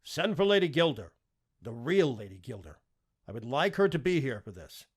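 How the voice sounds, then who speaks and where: Man voicing a commanding, forceful king, husky. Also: mid-range voice, sing-song, normal speed. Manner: formal, forceful, authoritative. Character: commanding, confident king passing stern sentence. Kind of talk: audiobook character dialogue.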